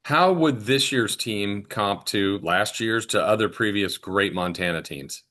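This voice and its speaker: A man's voice: fairly low pitch, unhurried, pushed and bright. A man hosting a sports podcast, asking questions in a conversational interview style.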